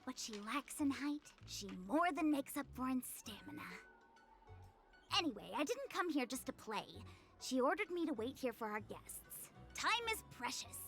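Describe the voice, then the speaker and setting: High, excitable young slacker diviner. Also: female voice, moderate pace, soft background music. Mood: playful, excited. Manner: chirpy, excitable, breezy. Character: playful, slacking young woman diviner obsessed with tile games. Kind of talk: video game voice acting.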